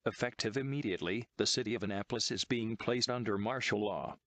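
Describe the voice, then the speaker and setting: Synthetic male voice, lowish pitch, dry sound, emergency alert announcer, formal, impersonal, scripted, measured. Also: a bit bright, no echo. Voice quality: synthetic text-to-speech voice.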